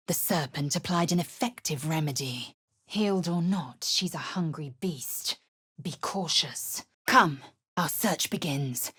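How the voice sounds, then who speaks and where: Game role: proud female githyanki warrior; low, very husky, slow and commanding, contemptuous. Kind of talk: video game character lines.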